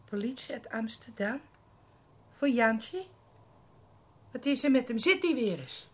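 A woman's voice: on the high side, expressive, measured pace. An actress playing a weary, suspicious middle-aged mother hardened by trouble, curt and grumbling in a radio drama. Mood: wary. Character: weary, suspicious, hard-bitten mother of a criminal. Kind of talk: Dutch radio play acting. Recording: clearly noisy radio drama recording.

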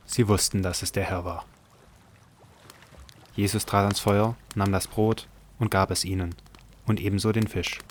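A man's voice: on the low side, fairly even intonation, unhurried, pauses often, a little hiss or hum. A man narrating a Bible audio drama from a script.

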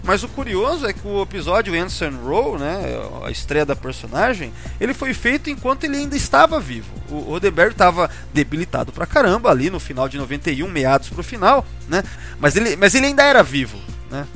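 A man's voice: sits high, very animated, fairly quick, fairly bright tone. A Brazilian man hosting a podcast, casually discussing a TV series and peppering his talk with tag questions. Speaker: podcast host. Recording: music under the voice.

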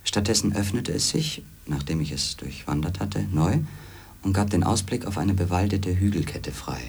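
Brooding literary narrator, very deep voice, husky edge, measured, introspective, radio drama. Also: male voice, a little mellow, full character voice. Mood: pensive. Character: Loose, a brooding male former editor recounting lost wanderings. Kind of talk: literary first-person radio play narration.

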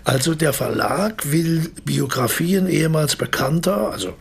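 Evasive old stage thespian, husky and gravelly. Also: male voice, a little high, animated, measured pace, exaggerated voice. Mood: ingratiating. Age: older. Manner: hesitant, self-correcting, theatrical. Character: evasive, talkative old former stage actor. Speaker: actor in a radio play. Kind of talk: German radio drama dialogue.

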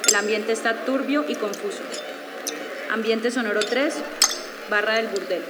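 A woman's voice: a little high, steady tone, moderate pace. A woman reading scripted stage directions as the narrator of a live radio play. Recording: very noisy live recording, clinking tableware.

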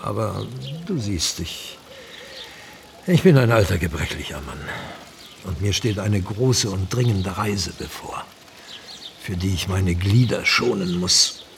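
An actor playing a frail, courteous old Turkish gentleman in a radio play, speaking formally and with weight, a pious and grave sage. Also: low voice, animated, creaky, far from the mic, background noise, heavily acted. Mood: courteous, solemn. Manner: slow, deliberate, formal, weighty.

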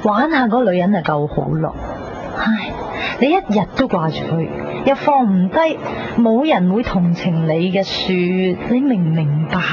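A woman's voice: medium pitch, big pitch swings, moderate pace, noisy background, lightly acted. A woman with a Cantonese accent playing a brash, flippant young friend in a radio drama, chatty and blunt. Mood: scolding. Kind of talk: 1990s radio drama dialogue. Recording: dull radio recording, loud crowd behind.

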